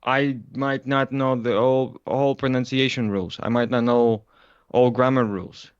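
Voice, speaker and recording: A man's voice: medium pitch, steady tone, normal speed, clear, resonant tone, no echo, muffled recording. A Russian man with a Russian accent, talking through his views as an interview guest, explaining with examples. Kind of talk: casual conversation.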